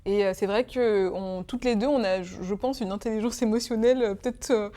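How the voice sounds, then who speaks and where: A woman's voice: medium pitch, fairly quick, warm tone. A young woman in her twenties from Congo, speaking as a guest in an emotional interview, hesitant and full of fillers. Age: 20s. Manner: hesitant, many fillers and restarts.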